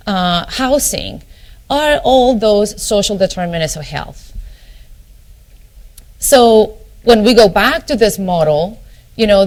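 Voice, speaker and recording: Argentine woman giving a keynote lecture. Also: mid-range voice. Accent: Argentine Spanish. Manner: frequent ums and you knows, restarts phrases. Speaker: family physician giving a keynote. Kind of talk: conference lecture.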